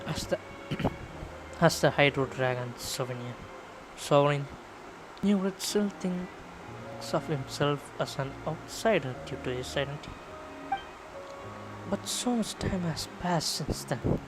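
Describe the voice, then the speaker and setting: A man with a Tamil accent and a fairly high voice, live streaming a video game. He chats with viewers and reads game lines aloud, with clear game music behind him. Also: measured pace, long pauses, rough recording. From India. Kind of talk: livestream chat and reading game text.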